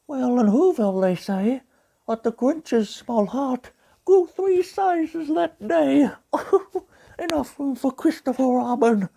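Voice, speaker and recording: A man voicing a gentle, childlike, honey-loving cartoon bear who reads a storybook in a husky, polite, fretting manner. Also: extremely high pitch, expressive, slow pace, pitched above the performer's own voice, exaggerated voice. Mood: sweet, pleased. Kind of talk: storybook reading as cartoon impression.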